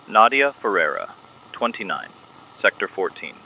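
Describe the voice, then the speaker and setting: A man's voice: lowish voice, sing-song, lo-fi sound, slight background noise. A man playing a somber resistance broadcaster honoring lost comrades, speaking with grave restraint in a scripted audio drama. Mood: somber, restrained. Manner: grave, restrained, deliberate delivery.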